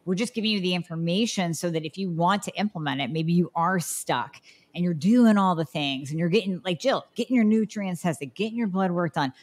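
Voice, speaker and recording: Low-voiced woman podcast host. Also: a little fast. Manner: energetic, explains at length, affirming. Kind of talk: casual health podcast talk.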